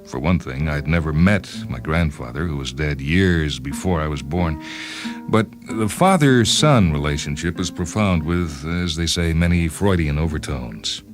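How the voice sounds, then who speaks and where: A man reading a first-person short story in a wry, measured, literary way, as a dramatic narrator. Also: very deep voice, expressive. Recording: music bed behind the voice. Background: instrumental music with guitar.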